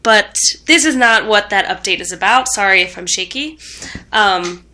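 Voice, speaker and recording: A young woman with a cold talks into her camera, her voice stuffed up and a little tired. Her tone is bright and edgy as she rambles, stops to correct herself and fills gaps with ums. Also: medium pitch, lively intonation, normal speed, a little hiss or hum. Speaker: vlogger. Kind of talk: casual rambling vlog update.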